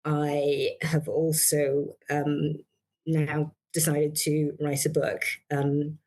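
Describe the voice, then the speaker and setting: A middle-aged woman from Barbados, a psychiatrist answering interview questions in a measured way, with many fillers and restarts. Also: sits low, completely flat delivery, a little mellow. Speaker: guest psychiatrist.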